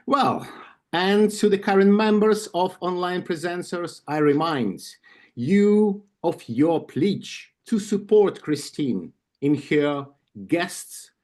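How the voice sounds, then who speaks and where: A man with a Ukrainian accent reading an induction script on a video call, slowly and carefully, with asides and small self-corrections. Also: sits high, animated, darkish tone. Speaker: club vice president of membership. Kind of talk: reading an induction ceremony script at an online club meeting.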